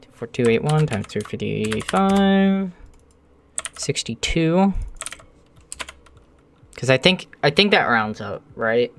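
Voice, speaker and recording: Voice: mid-range voice, animated, takes it slowly, pauses often, slight background noise. A streamer talking casually while working at a computer, often muttering thoughts aloud as if to themselves. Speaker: live streamer modding a video game.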